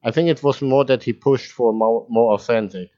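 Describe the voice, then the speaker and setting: Male podcast host, easygoing and giggly, mid pitch, slightly muffled audio. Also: moderate pace. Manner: laughs often, relaxed, teasing. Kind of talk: casual chat.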